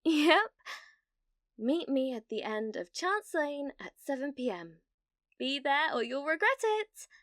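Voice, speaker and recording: ASMR roleplay: actress as obsessive young British female gamer, higher-pitched, halting and nervous, very dry sound. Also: sing-song, fairly bright tone, no room sound, full character voice. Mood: flustered, eager. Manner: halting, flustered, breathy laughs and hesitations.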